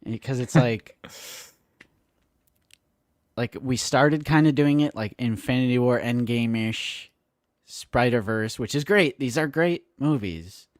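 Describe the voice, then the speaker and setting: A man in his late twenties, co-hosting a casual live stream with an animated, joking delivery. His voice sits in a middling register and sounds dry and close, with little room around it. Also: mid-range voice, slow pace, pauses often, no echo. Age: late 20s. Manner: animated and joking, acts out quotes. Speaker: co-host of a toy collecting live stream.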